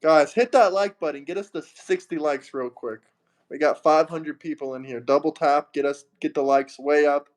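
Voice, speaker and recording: A man's voice: fairly high pitch, moderate pace. A man hosting a livestream, arguing heatedly and mockingly with a caller and asking viewers for super chats. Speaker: livestream host. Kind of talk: heated political livestream debate.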